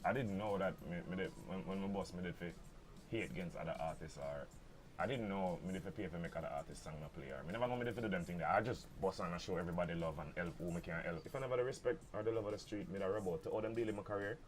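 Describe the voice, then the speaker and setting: A middle-aged Jamaican dancehall artist chatting in an interview, mixing patois with American slang. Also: male voice, low-pitched, very fast, slight background noise. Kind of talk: casual interview chat.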